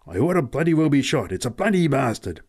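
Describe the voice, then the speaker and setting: A man performs a gruff dairy farmer from a storybook. He is irritable and blustering, snapping out his words with urgency. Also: mid-range voice, expressive, moderate pace, pitched above the performer's own voice, close to an everyday voice. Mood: urgent, frustrated. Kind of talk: acted storybook dialogue.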